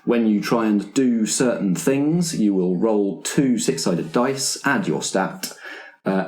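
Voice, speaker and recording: A low-pitched man serves as game master for a tabletop roleplaying game. He lays out the rules to his players in a halting, explanatory way. The sound is roomy, with clear echo. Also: a little mellow. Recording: roomy, echoing room sound.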